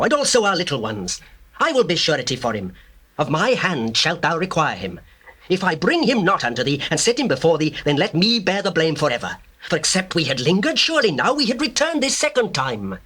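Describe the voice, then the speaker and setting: An earnest, commanding man of biblical times. His high voice is bright and forceful, swinging widely as he declaims formal scripture drama. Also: wildly swooping pitch, brisk, slightly rough, heavily acted. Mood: urgent pleading. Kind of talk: dramatized scripture, theatrical acting.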